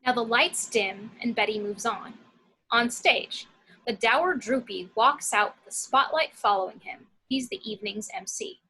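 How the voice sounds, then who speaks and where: Bright, husky, high-voiced woman narrator. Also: unhurried, rough recording. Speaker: narrator reading action descriptions. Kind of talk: scripted narration at an amateur screenplay table read.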